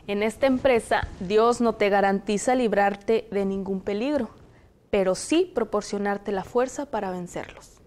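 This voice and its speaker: A young woman from Zacatecas with a Mexican Spanish accent, hosting a studio discussion on a lapel mic in a TV studio, speaking in a measured, explanatory way. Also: mid-range voice, a little mellow. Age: young adult. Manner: measured, explanatory, reads passages aloud. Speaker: host. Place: television studio, indoors.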